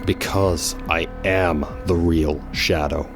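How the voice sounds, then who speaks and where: A man's voice: deep voice, fairly even intonation, slow, music in the background. A man playing a brooding, guarded hedgehog antihero in a fan audio drama, speaking in a grave, terse way. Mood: stern.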